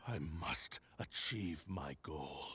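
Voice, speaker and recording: An actor playing the arrogant, obsessive spirit of a cursed sword in a video game, theatrical and menacing with a gravelly voice. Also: male voice, rumbling low, slow pace, sharp tone, exaggerated voice. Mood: brooding, defiant. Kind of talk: dramatic video game voice acting.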